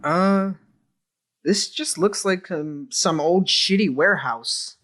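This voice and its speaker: Higher-pitched crude, casual young guy played by a man. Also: takes it slowly, a bit bright. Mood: unimpressed. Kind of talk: audio drama acting.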